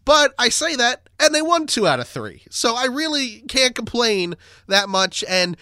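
Congested high-voiced male podcast host. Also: sing-song, a little slow, pushed and bright, no room sound, studio quality. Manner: chatty, rambling, self-deprecating. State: nasal and congested from a cold. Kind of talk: casual sports podcast chat.

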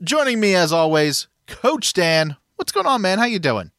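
A man with a high, light voice hosts a casual sports podcast. He talks in an upbeat, brisk way, and the sound is very dry and close, with no room at all. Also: sing-song, fairly bright tone, dry recording. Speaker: podcast host.

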